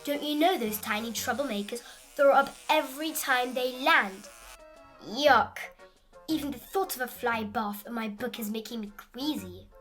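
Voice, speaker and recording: A woman's voice: a little high, normal speed, fairly bright tone, faint music behind, voice pushed down, close to an everyday voice. A woman voicing a fussy, dramatic, easily panicked male frog in a children's storybook, with theatrical, scolding delivery. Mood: alarmed, frantic. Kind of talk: animated children's storybook read-aloud.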